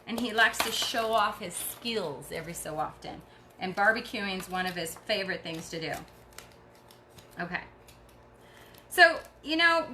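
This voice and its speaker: Chatty, bright-voiced woman crafting. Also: a little high, slight background noise. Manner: chatty and encouraging, talks while working. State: tired. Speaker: craft tutorial host. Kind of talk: casual craft demonstration.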